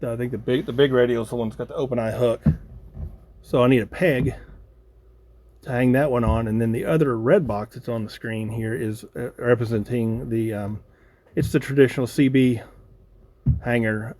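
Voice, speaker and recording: An American man talks through a hobby project in a casual, unscripted way. His pitch sits in an ordinary middle range. He thinks aloud haltingly, feeling his way through each explanation. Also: a little mellow. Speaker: hobbyist video host.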